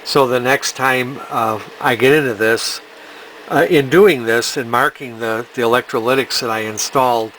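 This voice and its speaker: A man's voice: medium pitch, normal speed, fairly bright tone, a little hiss or hum, rough recording. A man giving casual, hands-on repair commentary as a technician, plain-spoken and technical, thinking aloud as he works. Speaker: electronics repair technician and video host.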